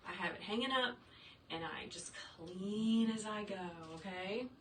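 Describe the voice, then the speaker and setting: A woman's voice: medium pitch, unhurried. Chatty woman teaching crafts, roomy sound. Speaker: wreath maker teaching a craft. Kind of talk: casual livestream tutorial reading viewer comments. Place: her home craft workshop. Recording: roomy, distant sound with faint room noise.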